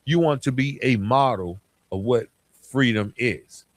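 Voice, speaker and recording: A man hosting a podcast in a reflective monologue, speaking earnestly and with deliberate emphasis straight to his listeners. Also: mid-range voice, no echo. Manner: earnest, addresses listeners directly as guys. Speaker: podcast host.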